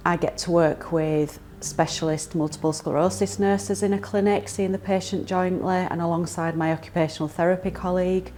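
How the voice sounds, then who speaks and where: A woman's voice: fairly low pitch, steady tone, normal speed, hardly any pauses, dark tone, soft background music. A woman physiotherapist talking about her work, reflective and earnest, with some fillers.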